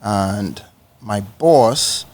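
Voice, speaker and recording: A man's voice: lowish voice, lively intonation, extremely slow, darkish tone. A man from Trinidad and Tobago, a podcast guest speaking casually in short phrases and often checking in with the listener. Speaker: podcast guest, financial educator. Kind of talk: casual personal storytelling in an interview.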